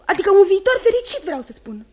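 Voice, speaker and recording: A woman's voice: very high-pitched, big pitch swings, darkish tone. An actress playing a playful, hopeful young fiancée in a radio drama, girlish and teasing.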